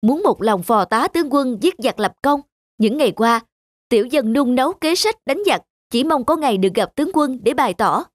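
A man voicing a humble young peasant patriot in an animated folk tale, speaking formally with respect and fervor. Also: very high voice, normal speed, no echo, studio quality. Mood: fervent, respectful.

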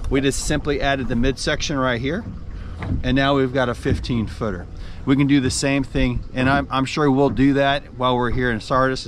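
A man's voice: mid-range voice, slight background noise. A middle-aged man chatting casually on a fishing boat out on a lake, explaining his rod and reacting to big catches. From American South. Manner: relaxed, chatty, excited over big fish. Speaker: fishing guide and rod maker. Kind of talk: casual outdoor fishing vlog. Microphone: action camera on the boat.

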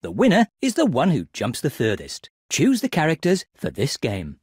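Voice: medium pitch, huge pitch swings, moderate pace, background noise. A narrator of a children's game, giving scripted instructions expressively for young children. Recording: music behind the voice.

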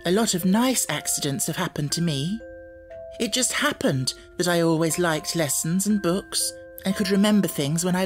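An earnest, brave little schoolgirl from a storybook, voiced by a male narrator. The voice is lifted well up in pitch and speaks in a thoughtful, imaginative way. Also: natural voice. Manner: earnest, reflective, storybook speech.

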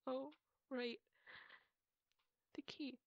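A woman playing a heartbroken young girlfriend in an ASMR roleplay, crying and pleading in halting phrases, often slipping into a breathy whisper. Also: sits high, fairly even intonation, muffled recording. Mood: tearful, embarrassed. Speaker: roleplay voice actor. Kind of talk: intimate ASMR roleplay acting.

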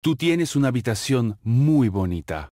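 A man reading short phrases for a language lesson, saying each one slowly and clearly and then repeating it. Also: mid-range voice, very animated, warm tone, no echo. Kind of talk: slow, carefully enunciated drill reading. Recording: dry, close studio voice-over.

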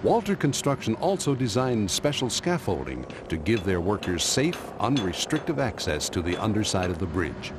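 A man reading scripted documentary narration in a measured, explanatory way, recorded as a studio voice-over. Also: lowish voice, very animated, background noise.

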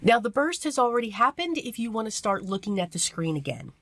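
A woman with a tired, hoarse voice narrating a card-making tutorial step by step, chatty and casual. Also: a little high, big pitch swings, a little fast. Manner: chatty step-by-step explaining with fillers. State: losing her voice, hoarse. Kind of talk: voice-over craft tutorial.

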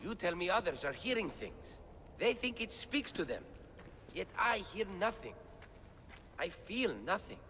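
A man's voice: high voice, very animated, unhurried, sharp tone, heavily acted. A man playing an anxious, self-doubting scholar in a video game cutscene, speaking in a fretful, plaintive and emphatic way. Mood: troubled. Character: anxious, self-doubting male scholar translating ancient relics. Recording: long cavernous reverb, clear background noise.

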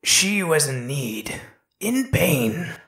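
A woman voicing a slithering female yokai spirit who speaks in a slow, measured, accusing way. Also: sits low, sing-song, full character voice. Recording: roomy echo.

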